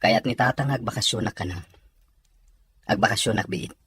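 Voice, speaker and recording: A man's voice: lowish voice, moderate pace, a bit bright, a little hiss or hum, close to an everyday voice. A man playing a reserved, dutiful young working man in an amateur radio-style drama, speaking earnestly.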